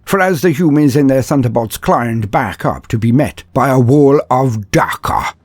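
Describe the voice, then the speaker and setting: A man tells a comedic war tale in a grand, mock-heroic style, slipping in dry asides. His voice is slightly husky and swings widely in pitch. The sound is dry and close, with soft music underneath. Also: lively intonation, normal speed, dry recording. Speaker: storyteller. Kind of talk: comedic mock-epic story narration.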